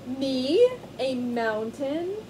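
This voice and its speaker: A proud, lazy lion king from a picture book, voiced by a woman reader. He speaks slowly and grandly, with a lordly air. A faint hiss runs under the voice. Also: a little high, sing-song. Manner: slow, lordly.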